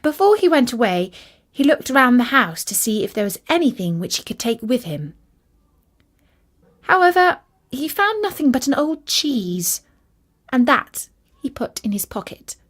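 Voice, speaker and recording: A woman narrates a children's fairytale at an unhurried pace. Her delivery is expressive and deliberate, with a very animated rise and fall in pitch. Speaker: storyteller.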